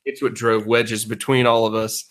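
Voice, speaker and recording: A man's voice: on the low side, flat delivery, moderate pace. A man co-hosting a podcast in casual comedic banter, teasing and sarcastic with a mock-serious delivery. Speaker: podcast co-host.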